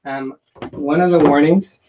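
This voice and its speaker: A man's voice: a little high, fairly even intonation, unhurried, a little hiss or hum. A man co-hosting a travel vlog, chatting casually on a livestream. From England, by home country; says no English accent. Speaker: co-host of a travel vlog channel. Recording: dull, slightly lo-fi livestream sound.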